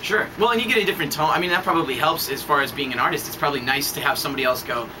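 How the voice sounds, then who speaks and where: A man hosts a casual radio interview, firing off eager questions at speed. His throat sounds scratchy, and he sits a little back from the microphone. Steady background noise runs under him. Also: on the high side, far from the mic. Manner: eager, rapid-fire questions. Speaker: radio host. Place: radio station studio.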